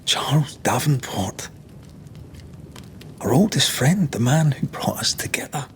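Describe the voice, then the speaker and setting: An expressive Scottish male ghost-hunter. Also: mid-range voice, a little slow, in a whisper. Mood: sombre, compassionate. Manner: earnest, expressive. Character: tender-hearted 17th-century male ghost-hunter, devoted lover. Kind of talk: dramatic game cutscene acting.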